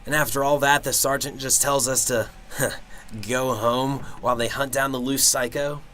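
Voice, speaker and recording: A man's voice: medium pitch, steady tone, normal speed, pushed and bright, slight background noise, lightly acted. A man playing a cynical, sarcastic detective in an amateur radio drama, with a blunt, grumbling delivery. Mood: suspicious. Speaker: actor.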